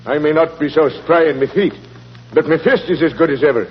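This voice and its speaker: A man acts out an excitable old Irish caretaker, a colourful storyteller in an old radio play. His voice sits a little high and is folksy and emphatic. The recording is muffled and echoes. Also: brisk, close to an everyday voice. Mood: insistent, boastful. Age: older. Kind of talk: 1940s radio acting.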